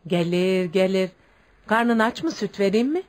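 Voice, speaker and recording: A woman playing a caring, calm older village neighbour who reassures others in a radio play. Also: medium pitch, unhurried, natural voice. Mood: soothing. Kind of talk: Turkish radio theatre acting.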